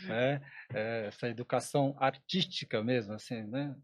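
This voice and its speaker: A man's voice: mid-range voice, fairly even intonation, normal speed. A man hosting a podcast, agreeing often and chiming in with short replies. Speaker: podcast host. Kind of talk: casual interview conversation.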